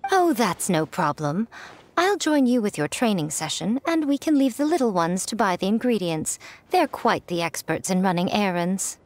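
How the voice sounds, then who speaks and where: A woman's voice: mid-range voice, sing-song, normal speed, natural voice. A woman playing a poised, teasing, elegant mentor in a video game, coy and knowing. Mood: teasing, affectionate. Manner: smooth-worded, coy, condescendingly affectionate. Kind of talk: video game character acting. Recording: loud music behind the voice.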